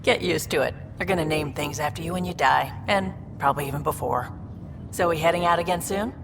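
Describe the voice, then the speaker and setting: An actress playing a tough, wry woman colonist in a video game, dry and teasing with a quiet fondness. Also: low voice, a little fast, vocal fry, background noise. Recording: long reverb with music.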